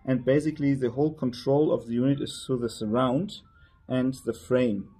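A man with a husky, relaxed voice speaks as a company representative. He explains technical details in a measured way, as in a product interview at a trade show. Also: mid-range voice, faint music behind. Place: indoor trade show demo room.

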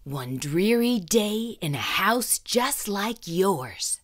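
A woman's voice: medium pitch, big pitch swings, extremely slow. A woman reading a children's story aloud in a suspenseful, theatrical and emphatic way. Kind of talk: dramatic read-aloud narration.

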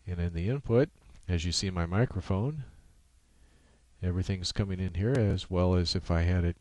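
A man giving a casual, unscripted software walkthrough in halting steps, speaking into a USB microphone. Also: low-pitched, no echo. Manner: halting, unscripted step-by-step explaining. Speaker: software tutorial presenter. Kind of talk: casual screen-capture tutorial narration.

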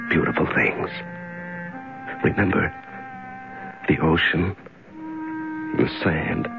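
An actor playing a frightened, bewildered male prisoner in a radio drama, halting and stammering as he repeats himself. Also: deep voice, gravelly, exaggerated voice. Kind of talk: 1970s radio drama acting. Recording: muffled 1970s radio recording, music behind.

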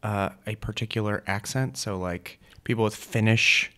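A man with a dry, deadpan sense of humor, chatting as a guest on a podcast, speaking into a studio mic. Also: fairly low pitch, moderate pace. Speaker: guest comedian. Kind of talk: casual podcast chat. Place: studio set with brick wall. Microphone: studio mic on stand.

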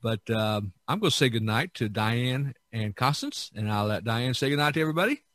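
A middle-aged or older man hosting a casual podcast, talking in a halting, rambling way with many pauses. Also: mid-range voice, animated, a bit bright, dry recording, clean studio sound. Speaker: podcast host.

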